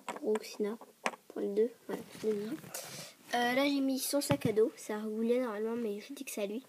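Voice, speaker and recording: A woman with a stuffy voice from a cold, rambling quickly through a casual show-and-tell vlog and often dropping to a whisper. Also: fairly high pitch, a little mellow. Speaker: vlogger showing a toy dollhouse. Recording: clear background noise and clicks.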